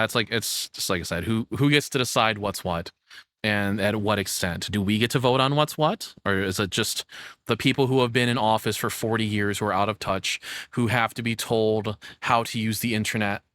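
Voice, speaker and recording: A man's voice: on the low side, a bit bright, dry recording, clean studio sound. A man giving casual, rambling reaction commentary in a sarcastic, cynical manner with frequent swearing. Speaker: streamer reacting to a video.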